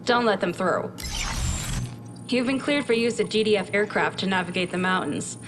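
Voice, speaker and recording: An actress playing a brisk, dry-witted military scientist, giving a mission briefing in a video game with a crisp, matter-of-fact delivery. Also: female voice, mid-range voice, music in the background, close to an everyday voice. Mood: brisk, informative. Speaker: military research officer briefing a commander.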